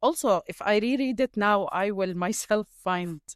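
Reflective Palestinian woman, halting speech. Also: mid-range voice, clear, resonant tone, dry recording, studio quality. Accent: Palestinian, with an Egyptian tinge. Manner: reflective, halting, many fillers. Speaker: guest, writer. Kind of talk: conversational interview.

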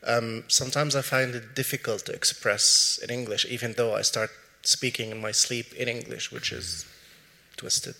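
Bright-voiced, hesitant questioner. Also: male voice, mid-range voice, moderate pace, big-room sound. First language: Arabic. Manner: hesitant, with many fillers. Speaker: audience member asking a question.

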